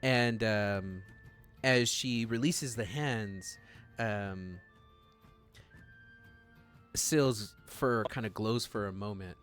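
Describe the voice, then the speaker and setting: A man's voice: fairly low pitch, extremely slow, pauses often, a bit bright, soft background music. A man acting as game master, improvising narration and chatting casually and jokily with players on a stream. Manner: informal, jokey, slangy.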